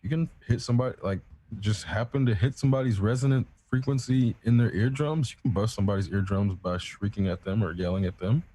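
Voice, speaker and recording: Low mellow-voiced man rambling. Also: fast, breathy edge. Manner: rambling, profane, self-assured explaining. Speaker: podcast co-host. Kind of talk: casual chat.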